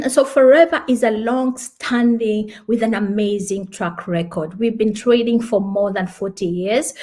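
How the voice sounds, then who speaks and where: A woman's voice: on the high side, darkish tone. A woman in her forties from Kenya, sharing her story with enthusiasm and some hesitant restarts. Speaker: lawyer and business presenter. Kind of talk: personal story and company presentation.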